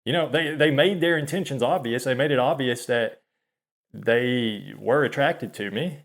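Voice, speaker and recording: A man in his thirties talking to camera in a confessional monologue, halting and hesitant, often trailing off and restarting, soft-spoken and self-deprecating. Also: medium pitch. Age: 30s. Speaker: channel host.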